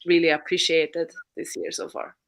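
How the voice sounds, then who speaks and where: A woman with a slightly low voice, speaking as a co-host on a relaxed reading livestream. Her talk is unscripted and thoughtful, and she qualifies her opinions as she goes. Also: moderate pace. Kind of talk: casual unscripted livestream chat about books.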